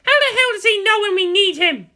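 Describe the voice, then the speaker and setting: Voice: very high-pitched, lively intonation, brisk, bright, forceful tone, pitched above the performer's own voice, exaggerated voice. A narrator voicing a fiery, indignant teenage girl in an anime fan fiction reading.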